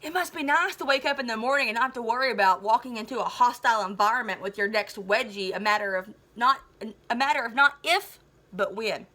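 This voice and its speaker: A woman's voice: fairly high pitch, a little fast, sharp tone. A woman voicing a nerdy, bullied eighth-grade boy, wry and dramatic, for a storybook read-aloud. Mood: sarcastic.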